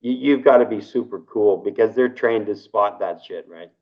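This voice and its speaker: A low-pitched man in his fifties from Canada, talking casually in an interview. He rambles, repeats himself and keeps tagging sentences with "right?". The recording sounds dull and lo-fi. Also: moderate pace. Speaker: interview guest.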